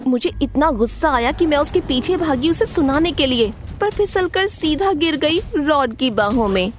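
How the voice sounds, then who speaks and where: A woman voicing a guarded, feisty teenage schoolgirl who tells her story expressively in an animated story. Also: high voice, normal speed, a little hiss or hum. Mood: narrating, confiding. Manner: expressive, dramatic. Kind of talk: animated story narration with acted dialogue.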